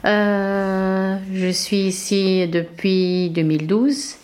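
A woman's voice: medium pitch, steady tone, very slow, darkish tone, clean tone. A woman, introducing herself in short, simple sentences. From Algeria. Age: 40s.

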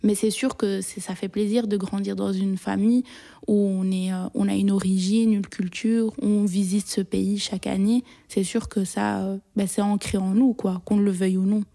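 A young woman answers personal questions in an interview. She sounds shy and hesitant, restarting her sentences and filling pauses. Her voice is mellow and dark, and her pitch barely moves. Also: flat delivery, gravelly. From Belgium.

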